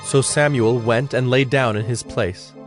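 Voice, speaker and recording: A male narrator reads a scripture story. His medium-pitched voice is measured and solemn. Faint music sits behind the narration. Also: steady tone.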